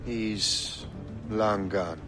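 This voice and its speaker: An actor playing a brooding young Irish ship captain in a dramatic video game. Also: male voice, on the low side, steady tone, extremely slow, music in the background. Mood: determined. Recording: clear background noise under voice.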